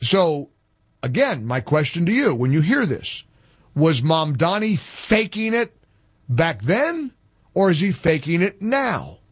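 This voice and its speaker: A man hosting a talk radio show in a dry studio, his voice slightly husky and rising and falling a great deal. He argues his point hard and repeats phrases for emphasis. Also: a little high, very animated, slow pace, dry recording. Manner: combative, repeats himself for emphasis.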